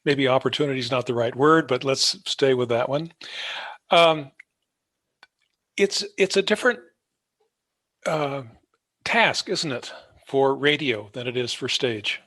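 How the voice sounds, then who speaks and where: A man hosting an interview in a conversational, measured and gently wry way. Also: mid-range voice, no echo. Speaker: podcast host, interviewer.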